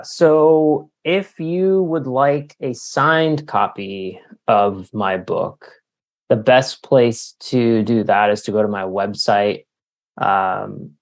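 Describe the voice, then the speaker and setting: Man, low pitch, heavy vocal fry, casual interview guest, hesitant and wordy. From Canada. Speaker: author being interviewed.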